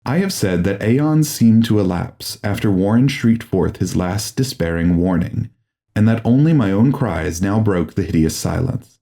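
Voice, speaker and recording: Low, dark-voiced male horror narrator. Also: animated, vocal fry. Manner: grave, deliberate, formal. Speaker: audiobook narrator. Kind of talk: dramatic scripted horror narration.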